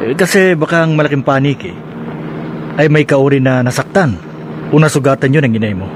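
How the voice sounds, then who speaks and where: A man's voice: mid-range voice, fairly quick, noisy background, close to an everyday voice. A man playing a teasing, curious police officer in a radio drama, with a conversational delivery. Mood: probing. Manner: inquisitive, conversational.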